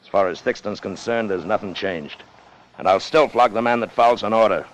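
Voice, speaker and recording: Actor as low-voiced, ruthless mutineer seaman, noisy recording. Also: moderate pace, natural voice. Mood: commanding. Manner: authoritative, forceful, dramatic. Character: ruthless, commanding ship's officer plotting mutiny for gold. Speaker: lead character and narrator. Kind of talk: 1950s radio acting. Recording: noisy vintage 1950s radio recording.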